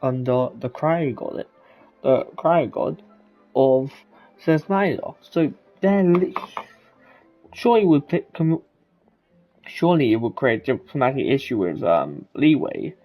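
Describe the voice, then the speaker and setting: A man giving casual gameplay commentary as a streamer, halting and rambling as he repeats himself. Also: medium pitch, sing-song, lo-fi sound, faint music behind. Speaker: game streamer.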